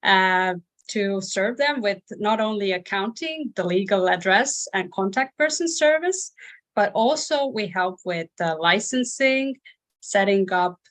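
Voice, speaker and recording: A woman's voice: medium pitch, animated, a little slow, fairly bright tone. A woman working in sales, introducing her company as a webinar guest, speaking with frequent hesitations. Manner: hesitant, many uhs. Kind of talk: webinar presentation.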